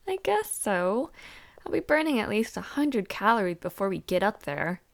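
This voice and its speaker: A woman's voice: mid-range voice, big pitch swings, unhurried, vocal fry. A young woman playing a chatty, over-prepared university student in a roleplay audio drama, talking casually and a little hesitantly. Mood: winded, complaining. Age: young adult.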